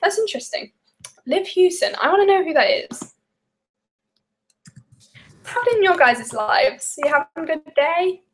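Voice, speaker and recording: A woman's voice: extremely high pitch, expressive, a little slow, pauses often, fairly bright tone. A young woman from the English Midlands with a Midlands accent, chatting casually and rambling on a live stream while baking, with lots of fillers and giggles. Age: young adult. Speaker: vlogger.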